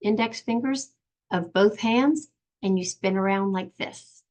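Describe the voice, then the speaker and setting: An older woman patiently teaching young children in simple, step-by-step phrases, in the gentle style of a preschool lesson. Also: medium pitch, a little slow. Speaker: children's sign language and song teacher.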